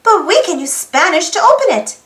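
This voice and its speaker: A woman reading a children's picture book aloud in an animated way, putting questions to young listeners. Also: very high voice, fairly quick, echoey room. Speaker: storybook read-aloud host.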